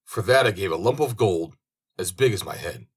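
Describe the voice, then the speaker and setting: A man's voice: deep voice, animated, normal speed, husky. A man voicing a naive, cheerful and trusting young man in a storybook tale, earnest and given to exclaiming.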